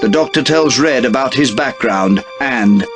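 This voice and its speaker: A man's voice: medium pitch, animated, a little slow, over music, natural voice. A man playing a solemn storyteller, reading scripted dramatic narration for a war video game.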